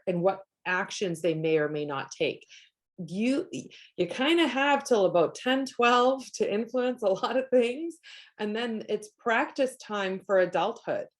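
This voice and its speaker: A woman from Canada whose first language is Polish, talking earnestly in a casual conversation and thinking aloud with many restarts. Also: lowish voice.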